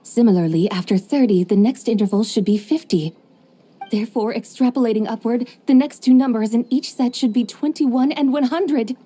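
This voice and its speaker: A woman's voice: a little high, warm tone, lightly acted. A woman playing a coolly logical formal traveler. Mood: composed. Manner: measured, formal, precise reasoning. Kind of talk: video game voice acting.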